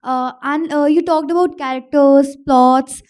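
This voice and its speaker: A woman's voice: sits high, fairly even intonation, a little slow, a little mellow. A young woman, politely and hesitantly asking an author a question. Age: young adult.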